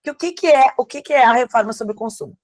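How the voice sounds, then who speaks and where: A woman's voice: a little high, fast talker, a little mellow, no echo. A woman from southern Brazil with a gaúcho accent, presenting as a guest expert and explaining in a conversational way, often answering her own questions. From Rio Grande do Sul, southern Brazil. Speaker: tax lawyer and lecturer, guest speaker. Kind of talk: explanatory presentation to an online audience.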